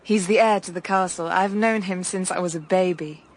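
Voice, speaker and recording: A woman's voice: lowish voice, steady tone, normal speed, close to an everyday voice. Actress as enigmatic, dreamy young Englishwoman. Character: enigmatic, serene young upper-class Englishwoman, frank and childlike. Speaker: actress in radio drama. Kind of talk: radio drama acting.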